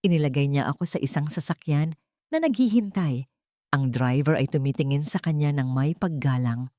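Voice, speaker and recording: A woman narrating a dramatic first-person audio story in a solemn, deliberate manner. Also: low-pitched, mellow, dry recording, clean studio sound.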